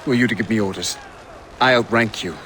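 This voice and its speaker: A man's voice: lowish voice, fairly quick, background noise, close to an everyday voice. A man playing a proud, commanding colonial army colonel in a dramatic video game performance. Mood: stern.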